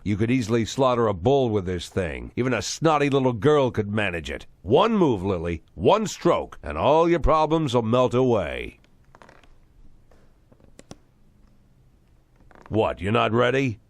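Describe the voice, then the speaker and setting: A man's voice: fairly low pitch, very animated, normal speed, few pauses. A middle-aged man playing a bitter, crooked former police chief in game drama, sarcastic and menacing. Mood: cold, menacing. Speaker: voice actor in game cutscenes. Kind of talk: dramatic scripted dialogue.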